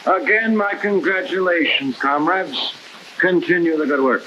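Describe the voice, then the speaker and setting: A man's voice: sits high, a little slow. An actor playing a stern communist official who formally declaims to his comrades in a 1960s propaganda film. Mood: stern, exhorting. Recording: dull, hissy old film soundtrack.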